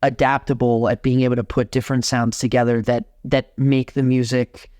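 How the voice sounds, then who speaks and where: A young adult man from Miami, Florida, a guest organist chatting in an interview, animated and full of restarts. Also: medium pitch, normal speed, no room sound, studio quality. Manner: animated, talks with many restarts. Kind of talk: casual interview conversation.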